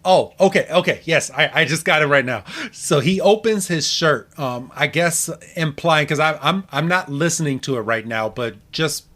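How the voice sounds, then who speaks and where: A man with a slightly high voice talks casually as a podcast co-host. He recaps a cartoon episode in a halting way, doubling back on phrases as he explains. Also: lively intonation, fairly bright tone.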